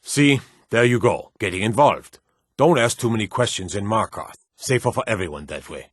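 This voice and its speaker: An actor playing a gruff, watchful fantasy city guard in a video game, speaking in a stern, curt and authoritative manner. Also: male voice, lowish voice, lively intonation, normal speed, dry recording, studio quality. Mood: wary, curt. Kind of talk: scripted fantasy game dialogue.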